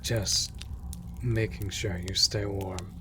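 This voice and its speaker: A man playing a stoic, protective monster hunter in an intimate comfort audio drama, speaking in a hushed, breathy, reassuring way. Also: deep voice, fairly even intonation, slow pace, background noise. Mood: gently concerned. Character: gruff, protective male monster hunter, stoic but gentle. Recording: loud rain and cave ambience. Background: rain in a cave.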